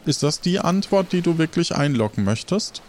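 A man's voice: on the high side, lively intonation, moderate pace, a little mellow, a little hiss or hum. A man hosting an audio role-play game as its game master and narrator. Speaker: game master, podcast host.

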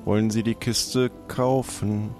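A man playing a formal, businesslike undertaker with a deliberate, dry manner in an improvised role-play comedy. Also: on the low side, level tone, darkish tone, faint music behind.

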